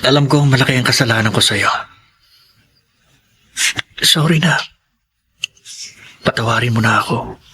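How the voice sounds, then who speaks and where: A man's voice: medium pitch, unhurried, long pauses, pushed and bright, husky, exaggerated voice. An actor playing a remorseful unfaithful husband in a radio drama, earnest and pleading as he coaxes his wife. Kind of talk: Tagalog radio drama acting.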